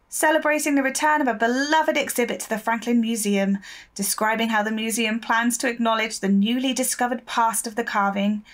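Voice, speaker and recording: An actress playing a persistent, shrewd newspaper reporter in an audio drama, speaking confidently and articulately. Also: female voice, a little high, a bit bright, close to an everyday voice.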